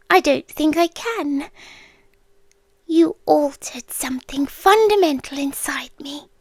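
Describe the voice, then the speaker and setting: British woman acting as lovelorn female end-of-the-world entity, ASMR roleplay, soft breathy whisper, unhurried pacing, dry close-mic sound, tender, melancholy. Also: high-pitched, no echo, heavily acted. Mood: wistful. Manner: slow, hushed, intimate, with pauses. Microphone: close microphone in a dry space.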